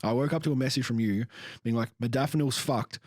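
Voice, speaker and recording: A man's voice: mid-range voice, quick pace, darkish tone, no echo, clean studio sound. A young Australian man, a coach and podcast co-host, telling stories casually with lots of swearing. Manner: chatty, sweary storyteller, laughs often.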